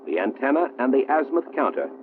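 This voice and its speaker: An American man reading a 1960s military training film narration in a formal, measured, precisely enunciated manner. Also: mid-range voice, very animated, background noise. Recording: muffled, roomy 1960s film soundtrack, phone-like.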